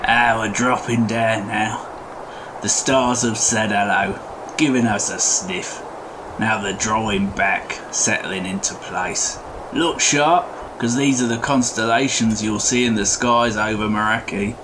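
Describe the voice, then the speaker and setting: A man's voice: lowish voice, steady tone, measured pace, noisy background, lightly acted. A man voicing a chatty, folksy goblin tinkerer who guides the listener through a playful fantasy bedtime story. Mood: hushed wonder. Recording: music behind the voice. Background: music.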